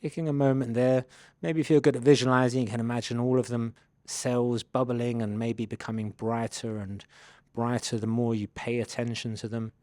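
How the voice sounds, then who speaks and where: A man leading a guided body scan meditation, giving gentle, unhurried invitations as a mindfulness instructor. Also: medium pitch, little pitch movement, no room sound.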